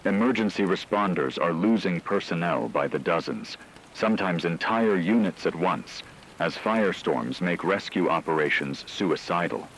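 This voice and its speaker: A man plays a grave radio news anchor with a low-pitched voice. He reads dramatic disaster reports in a formal, deliberate way. A faint rain-like noise sits behind his words. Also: animated, fairly bright tone, low-quality recording. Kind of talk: scripted dramatic news narration.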